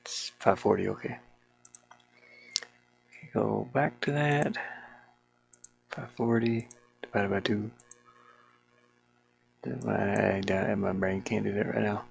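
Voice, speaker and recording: Slow, gravelly voice muttering through game development. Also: medium pitch, fairly even intonation. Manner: muttering to self, grumbling mildly, colloquial 'ain't' and double negatives. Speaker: solo game developer narrating their work. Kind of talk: casual unscripted game development screen recording, thinking aloud. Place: small warm room with a fan, indoors.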